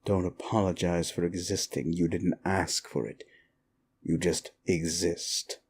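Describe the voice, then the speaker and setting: A sinister soul-eating demon, acted by a man speaks low and mellow in an intimate comfort ASMR roleplay. He takes his time with every phrase, very slow and deliberate, soothing the human while keeping a dry edge of menace. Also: flat delivery, husky, exaggerated voice. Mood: soothing, hushed.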